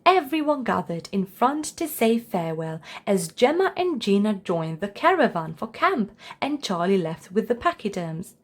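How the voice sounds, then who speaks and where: A woman's voice: mid-range voice, sing-song, moderate pace, few pauses. A woman reading a scripted children's story aloud as narrator.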